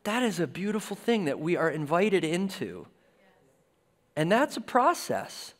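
A man's voice: high voice, a little slow. Man preaching in a reverberant hall. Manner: conversational preaching with lively gestures. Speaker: pastor. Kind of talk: sermon. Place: church stage with a lectern and guitars.

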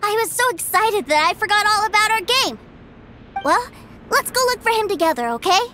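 A woman voicing an excited, trusting little boy in a game, eager and easily thrilled. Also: very high-pitched, brisk, noisy background. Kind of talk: game voice acting.

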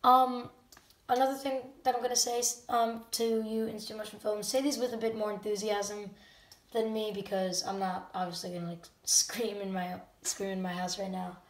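A channel host talking casually and unscripted to viewers at home, a bit halting and often self-correcting. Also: mid-range voice, little pitch movement. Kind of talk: casual unscripted talk to camera audience. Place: indoor room at home. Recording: roomy, distant microphone.

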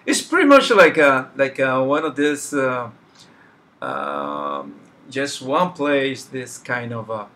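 A man's voice: medium pitch, very animated, slow, bright, forceful tone. A middle-aged man with a Spanish accent, a native Spanish speaker, chatting casually while sketching on a live stream. He searches for words, with many fillers and restarts. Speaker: artist streaming a drawing session. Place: small home room, indoors.

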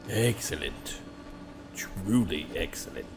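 An actor playing a sinister, gloating emperor in a science-fiction audio drama, speaking in a regal, menacing, drawn-out manner. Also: male voice, sits low, expressive, background noise, heavily acted. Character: sinister, gloating galactic emperor, probing and suspicious.

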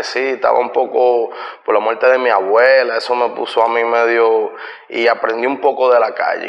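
A middle-aged man with a Puerto Rican Spanish accent, talking as a guest in a casual interview, laid-back and unhurried, recorded in a bright living room from a far camera. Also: mid-range voice, gravelly. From Puerto Rico. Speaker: guest, musician. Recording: lo-fi sound with long room reverb.

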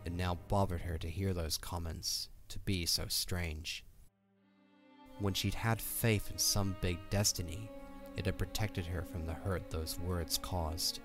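A man's voice: deep voice, steady tone, a little slow. A man narrating a scripted fantasy audio drama as its storyteller. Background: music.

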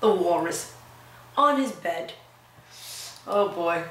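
A woman in her thirties, a preschool teacher reading aloud and talking to young children in a simple, expressive way with questions for them. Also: on the low side, slow pace. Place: indoor classroom-like room. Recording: roomy echo, distant mic, faint noise.

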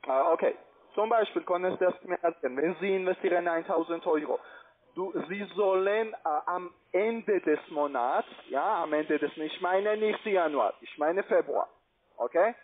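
A man with a foreign accent giving a pushy, repetitive phone sales pitch in halting non-native German. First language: not German. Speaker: call centre investment salesman. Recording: tinny band-limited phone line.

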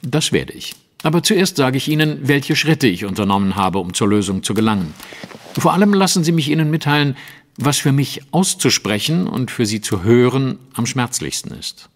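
An actor playing a composed, commanding Victorian detective in a German audio drama, speaking precisely and with theatrical authority. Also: male voice, mid-range voice, long reverb tail, lightly acted. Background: music.

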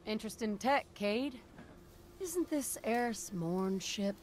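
A woman's voice: fairly high pitch, lively intonation, slow. A woman playing a dry, no-nonsense shipwright in a video game, casual and unimpressed. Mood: wry, suspicious. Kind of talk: cinematic game acting.

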